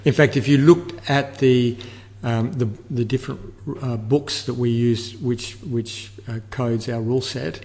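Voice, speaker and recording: An older man giving measured, explanatory answers as an interview guest, repeating words as he thinks. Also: mid-range voice, steady tone, a little mellow. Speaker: interview guest, air traffic control manager. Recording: long reverberant room echo.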